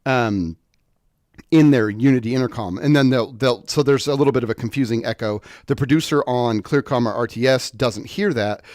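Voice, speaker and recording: A man with an average-pitched voice walks through a technical tutorial in a dry, close recording. He explains informally and off the cuff, often halting to think aloud and rephrase. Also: a little mellow, no echo. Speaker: product tutorial presenter.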